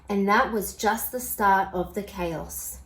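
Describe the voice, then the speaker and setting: A woman storyteller reading a children's picture book aloud in an expressive style. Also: mid-range voice, slow, poor audio.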